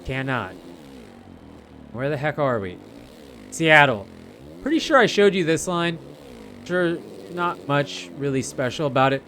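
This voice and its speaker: A man's voice: on the high side, big pitch swings, a little slow, pauses often, fairly bright tone, a little hiss or hum. A man giving casual, rambling gameplay commentary as a video creator, often repeating phrases for emphasis. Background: racing game vehicle engine sounds.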